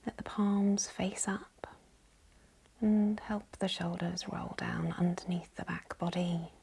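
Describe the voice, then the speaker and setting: A woman leading a guided yoga nidra meditation in a soft, whispery, breathy voice, calm and gentle. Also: fairly low pitch, takes it slowly. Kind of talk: guided meditation, slow hushed instruction.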